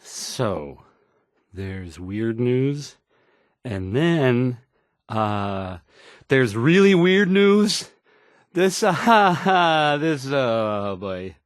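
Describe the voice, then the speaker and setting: A man's voice: mid-range voice, sing-song, extremely slow, dry recording, clean studio sound. An actor playing an awkward young male radio newscaster in a video game, nervous and hesitant, stammering and trailing off. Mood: baffled unease. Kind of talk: in-game radio news acting.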